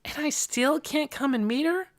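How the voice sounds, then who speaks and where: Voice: high-pitched, moderate pace, pitched above the performer's own voice. A narrator voicing an eager young girl in an audiobook, earnest, polite and full of questions. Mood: pleading, eager. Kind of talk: audiobook character voice.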